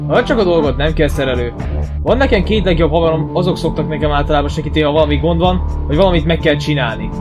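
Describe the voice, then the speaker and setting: A man playing a brash, hot-headed young hedgehog combat driver in a fan audio drama, with an excitable delivery. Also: a little high, over music, natural voice. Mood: confident. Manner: excitable, exclamatory.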